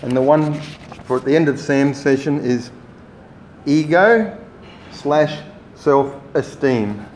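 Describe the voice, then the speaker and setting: A man running a training workshop, giving relaxed, rambling instructions to the group. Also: on the high side, slow. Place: meeting room. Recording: echoey room, faint noise.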